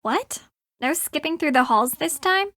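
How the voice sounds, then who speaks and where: A woman's voice: high voice, expressive, a little slow, a bit bright, no room sound, studio quality, full character voice. An actress playing a prickly, skeptical young woman in a video game, with a curt, sarcastic delivery. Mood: skeptical, dry.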